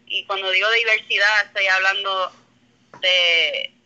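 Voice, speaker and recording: Puerto Rican woman, 30s, comedian guest; very bright tone, loose rambling delivery with English slipping in. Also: medium pitch, steady tone, unhurried, clear, resonant tone, lo-fi sound. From Puerto Rico. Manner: rambling, self-correcting, drops in English words. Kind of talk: casual remote conversation.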